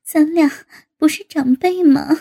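A woman voicing a shy, flustered middle-aged woman, breathless and whimpering, in a very high, soft voice. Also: a little slow, gravelly. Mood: bashful.

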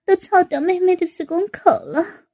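A woman voicing a shy, flustered middle-aged woman, breathless and whimpering, in a very high, soft voice. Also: normal speed. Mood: bashful.

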